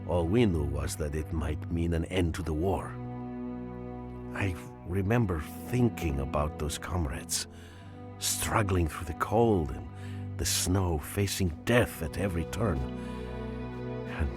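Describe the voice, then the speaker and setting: A man playing a weary Soviet soldier who narrates his war memories in a video game, somber and measured. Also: low voice, music in the background. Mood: somber reflection.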